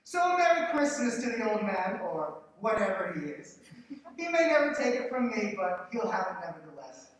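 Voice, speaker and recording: A woman's voice: medium pitch, sing-song, moderate pace, far from the mic, lightly acted. A woman playing a merry nephew hosting a Christmas parlour game in a live radio play. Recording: very echoey stage, off-mic.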